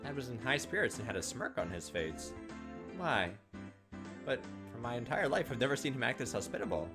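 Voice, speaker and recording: Male gaming host: dramatic storyteller with lively pitch, faint music behind. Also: brisk. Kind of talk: reading visual novel narration aloud with asides.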